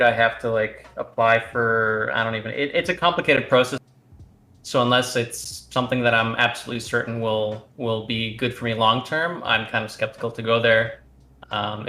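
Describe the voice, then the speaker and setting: A man's voice: mid-range voice, bright, forceful tone. Romanian-born man, casual guest, rambling delivery, echoey room recording. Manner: rambling, with many fillers and restarts. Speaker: guest, competitive card game player. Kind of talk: casual interview answers.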